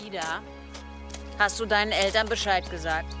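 A woman's voice: medium pitch, normal speed, music in the background, lightly acted. A woman playing a tough, dry-humoured demon-fighting wanderer in an audio drama, speaking bluntly and with command. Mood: dry, stern.

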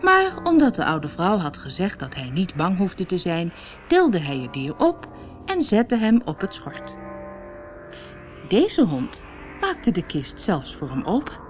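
A woman's voice: lowish voice, huge pitch swings, slow, faint music behind. A woman reading a fairy tale as an audiobook storyteller, expressively, as if for children. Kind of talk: scripted fairy-tale narration.

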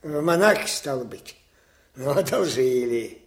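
A man's voice: mid-range voice, expressive, a little slow. An actor playing a plain-spoken, devoted male orderly who chides his old master in a folksy, familiar way, in a radio drama. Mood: grumbling, chiding. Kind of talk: Soviet radio drama acting.

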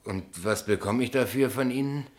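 An actor plays an unworldly, modest small-town man in a radio drama. His voice sits at a middle pitch, and he talks in a guileless, hesitant, homely way with a stubborn streak. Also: moderate pace, lightly acted. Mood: bemused, modest. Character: naive, modest small-town businessman, poet and tuba player. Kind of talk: German radio play acting.

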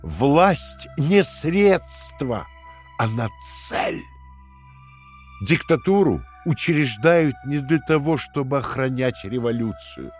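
An actor playing a calm, condescending party interrogator who lectures in a measured, fanatical way in an audio drama. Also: male voice, medium pitch, faint music behind. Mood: fervent, preaching. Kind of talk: dramatic audio play acting.

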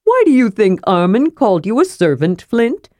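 Storybook kender, male, small and curious, eager high lilting delivery, acted by a female narrator. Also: very animated, normal speed, dark tone, dry recording, lightly acted. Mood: chatty, questioning. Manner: eager, inquisitive.

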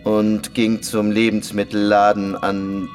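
A confessed bomber, a simple Soviet villager with a lowish voice. He speaks very slowly and deadpan, matter-of-fact to the point of chill. The radio play puts him in a long, ringing reverb with music behind. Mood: flat confession. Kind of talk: radio crime drama acting.

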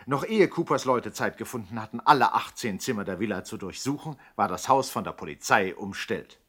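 An actor as a formal chronicler of a crime saga, narrating a 1960s radio play with deadpan, mock-serious precision. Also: male voice, on the low side, a little fast, slightly rough. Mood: mock-solemn.

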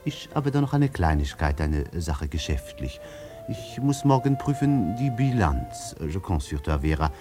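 An actor playing a smooth-talking French swindler in a radio drama, with a French accent and gushing, theatrical flattery. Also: male voice, deep voice, unhurried, over music. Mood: coaxing, scheming.

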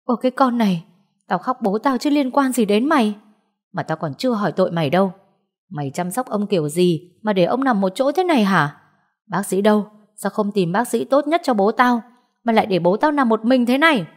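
A woman voicing a brash, greedy, quarrelsome middle-aged aunt who wails and scolds loudly and crudely in an audio story. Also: medium pitch, lively intonation, brisk, pitched above the performer's own voice, close to an everyday voice. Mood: indignant, scolding. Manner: loud, crude wailing and scolding.

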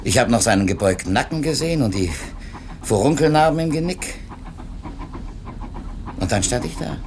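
An actor playing an earnest, wordy and evasive publishing editor in a 1970s East German radio drama. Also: male voice, medium pitch, expressive, normal speed, noisy background, close to an everyday voice. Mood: rueful, reflective. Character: conscientious male publishing editor, talkative, evasive, self-reproachful.

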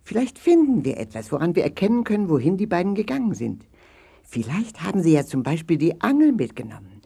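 A man's voice: sits high, big pitch swings, brisk, gravelly, mellow, slightly rough, full character voice. A man playing a thoughtful, curious doctor in a children's audio play, pondering aloud with musing hums. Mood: concerned, businesslike. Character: thoughtful, curious male doctor, leader of animal friends.

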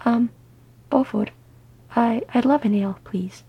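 A woman voicing a gentle, shy, smitten young female dwarf, soft and hesitant, in a fantasy story. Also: on the high side, fairly quick, gravelly, husky, highs cut off, exaggerated voice. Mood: tender, nervous.

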